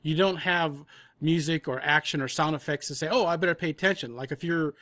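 A man's voice: a little high, brisk, fairly bright tone, no room sound. A man talking casually as an interview guest, thinking aloud as he explains his points. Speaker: audio drama producer, panel guest.

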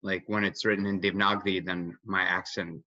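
A man's voice: low-pitched, flat delivery, fairly quick, pushed and bright, no room sound. A young man in his twenties, an actor, asking an eager, winding question over a video call from a home kitchen. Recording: dull, dry video-call audio.

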